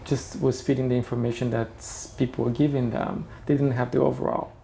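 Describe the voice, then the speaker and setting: A man's voice: medium pitch, level tone, fairly quick, vocal fry, dark tone, a little hiss or hum, rough recording. A middle-aged man from Brazil with a Brazilian Portuguese accent, chatting as a guest and often restarting his sentences. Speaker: interior designer guest. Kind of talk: casual interview chat.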